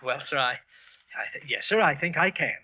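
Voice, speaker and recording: An actor playing a nervous, bitter office clerk hiding a murder in an old-time radio drama, with halting, evasive delivery. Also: male voice, on the high side, slightly rough. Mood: meek, deferential. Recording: muffled old radio broadcast recording.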